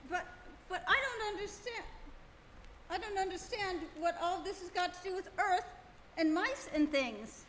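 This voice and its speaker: Woman as high-pitched, bewildered Earthman. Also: lively intonation, unhurried, a bit bright, heavily acted. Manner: hesitant, stammering. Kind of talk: live stage performance of a radio comedy. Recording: echoey hall, faint noise, live.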